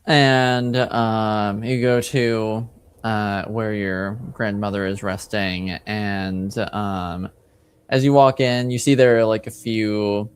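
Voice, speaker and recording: A man narrates a tabletop game in a smooth, lowish voice. He speaks very slowly, improvising as game master and often halting as he searches for the next word. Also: fairly even intonation.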